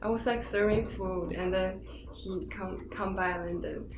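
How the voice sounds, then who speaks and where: A young woman guest on a podcast, soft-spoken and gentle, speaking hesitantly in casual chat. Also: medium pitch, fairly even intonation, a little slow, echoey room, background noise. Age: young adult.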